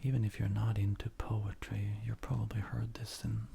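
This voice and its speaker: A man with a soft, breathy, whispering voice, reading poetry gently in an unhurried, measured ASMR style. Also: on the low side, little pitch movement. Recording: faint background noise.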